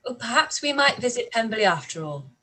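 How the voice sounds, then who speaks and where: A woman's voice: fairly high pitch, sing-song, fairly quick, sharp tone, heavily acted. An actress playing a spirited, inquisitive young woman in a radio play reading.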